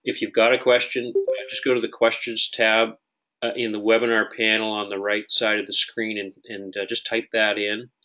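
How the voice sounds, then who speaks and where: A man with a Canadian accent hosting a webinar as client services manager, relaxed and friendly, with frequent uhs. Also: fairly low pitch, normal speed, lo-fi sound. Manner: friendly, relaxed, filler-heavy. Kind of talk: webinar introduction.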